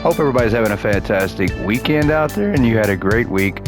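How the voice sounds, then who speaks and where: A man with a low voice that keeps cracking because he is losing it. He chats casually and upbeat, counting and thinking aloud while he plays a game. Faint music runs underneath. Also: expressive, fast. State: losing his voice. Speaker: gaming channel host. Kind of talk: casual gameplay commentary.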